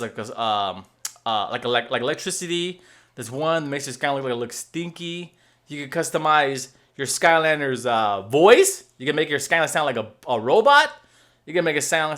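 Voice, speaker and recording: A man in his thirties talks straight into a desk webcam in his room at home, gesturing as he goes. His voice sits on the higher side for a man. He rambles through fillers and restarts and keeps checking in with "okay?". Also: sharp tone. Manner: waves his hands while talking. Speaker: gaming video host. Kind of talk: casual explainer to viewers.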